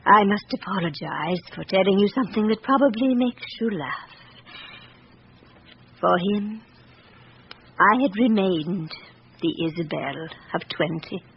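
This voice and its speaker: An actress playing an elderly, aristocratic French widow in a vintage radio drama, speaking formally and candidly with composure. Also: female voice, mid-range voice, unhurried, gravelly, long pauses. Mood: composed, wistful. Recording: dull, hissy old radio broadcast.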